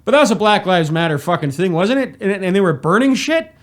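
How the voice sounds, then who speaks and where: A man's voice: high-pitched, very animated, fast talker. A man giving a crude, mocking, exasperated reaction commentary in a casual, profane style. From Canada. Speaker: commentator reacting to a press conference.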